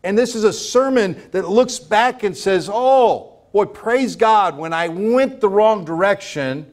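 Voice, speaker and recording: A middle-aged American pastor delivers a sermon in a high-pitched voice. He is emphatic, leaning on repetition and rhetorical questions to drive each point home. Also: expressive, unhurried. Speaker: pastor preaching. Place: church sanctuary, at a pulpit before a congregation.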